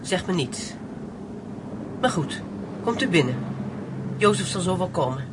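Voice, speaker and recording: An actress in a radio drama playing an anxious, protective wife with a curt, guarded manner. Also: female voice, lowish voice, expressive, measured pace, noisy background.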